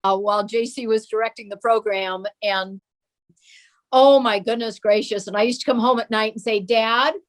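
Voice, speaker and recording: An American woman reminiscing casually as a guest, acting out remembered remarks in an expressive way. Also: a little high, moderate pace. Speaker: guest, daughter of a TV performer.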